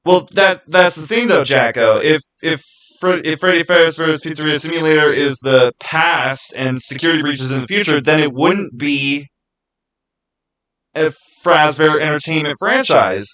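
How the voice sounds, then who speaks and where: A man's voice: fairly high pitch, lively intonation, normal speed, pushed and bright, poor audio. Insistent male live streamer. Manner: emphatic, insistent, repeats phrases. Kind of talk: casual live chat with viewers, arguing game theories.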